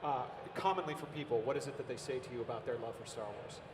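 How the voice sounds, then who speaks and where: An American man hosting a convention panel, asking questions eagerly with energetic restarts. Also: mid-range voice, quick pace, background noise. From United States. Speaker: panel host. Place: large convention hall stage. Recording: roomy echoing hall, distant from the mic, audible crowd ambience.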